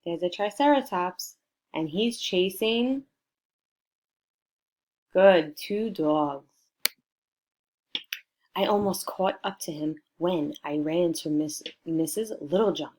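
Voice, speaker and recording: Woman, slightly low pitch, unhurried, expressive children's read-aloud, prompting young listeners. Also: a little hiss or hum. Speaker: storybook reader for children.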